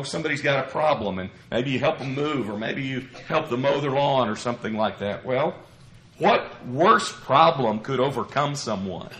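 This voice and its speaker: A man preaching an expository sermon in a measured, earnest way, his voice a little scratchy from a cough. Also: mid-range voice, fairly bright tone. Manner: measured, earnest, explanatory with rhetorical questions. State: slightly scratchy from a lingering cough. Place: church pulpit, roomy indoor space. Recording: echoey room.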